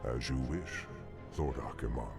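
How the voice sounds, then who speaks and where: A man's voice: very deep voice, unhurried, vocal fry, exaggerated voice. A man playing a haughty, scheming demon lord in a game cinematic, with a theatrical delivery. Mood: deferential. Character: scheming male demon lord, haughty yet deferential servant. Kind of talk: dramatic game cinematic acting. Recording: loud music under the voice.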